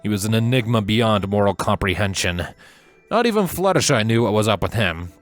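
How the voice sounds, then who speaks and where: A man's voice: fairly low pitch, animated, brisk, soft background music. A man reading a scripted story aloud as the narrator. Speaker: fan fiction narrator.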